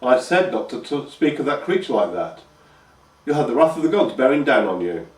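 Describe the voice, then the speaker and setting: A man's voice: medium pitch, very animated, a little fast, a little mellow, natural voice. A man doing a dry, put-upon teenage peasant lad, echoey room, heard from a distance. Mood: weary. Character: mild, long-suffering teenage peasant boy.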